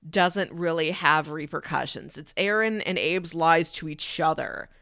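A woman's voice: low-pitched, a little slow, gravelly, a bit bright, no room sound, studio quality. A woman talking casually and analytically as a podcast co-host discussing a film.

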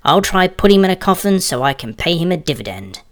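Man doing a jovial, high-pitched American tycoon. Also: very animated, fairly quick, a bit bright. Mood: jovial, boastful. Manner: jovial, pompous. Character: jovial male American coffin tycoon, smug salesman.

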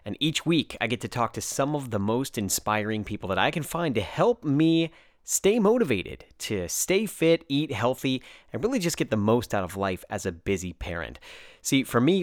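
A low-voiced American man hosting a podcast, talking in a chatty, enthusiastic way and wandering into side stories. The sound is dry and close, with no room around it. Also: dry recording. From United States. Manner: enthusiastic, chatty, fillers and digressions. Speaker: podcast host. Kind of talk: casual podcast chat.

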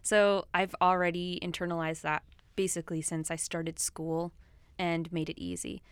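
Outspoken woman, low voice, dry sound. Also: level tone, normal speed, clean tone, dry recording. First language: Swahili. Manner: outspoken, talks at length with fillers. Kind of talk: casual discussion of a film.

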